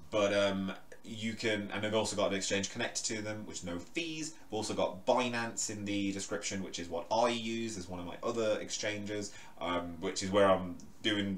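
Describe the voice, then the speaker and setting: Low booming guy rambling on camera in his bedroom, lots of ums, roomy echo. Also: far from the mic. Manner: rambling, loose, many fillers and restarts. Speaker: crypto vlogger. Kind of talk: casual talk to camera. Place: bedroom, indoors. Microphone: microphone in the room, standing away from it.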